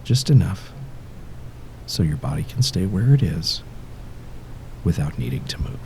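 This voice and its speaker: Low, creaky-voiced man guiding sleep hypnosis. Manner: soothing, measured, with pauses between short phrases. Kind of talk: guided sleep hypnosis narration. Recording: steady background noise, low rumble and ticking. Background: ticking clock-like rhythm.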